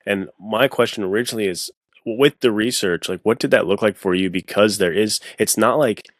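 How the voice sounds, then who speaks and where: Very deep-voiced man, dry sound. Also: brisk, dry recording. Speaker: podcast host. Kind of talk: casual chat.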